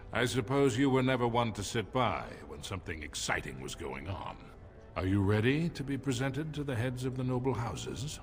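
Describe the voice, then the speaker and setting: A man's voice: mid-range voice, very animated, moderate pace, faint music behind. An aging dwarf king from a fantasy game. He is proud and regal, with stately, formal phrasing that swings widely up and down. His words resound through a long hall. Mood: fond, proud. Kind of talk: video game voice acting.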